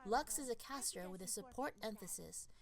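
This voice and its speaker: A woman reading scripted, explanatory narration for a game guide in a measured, instructional manner. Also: mid-range voice, animated, gravelly.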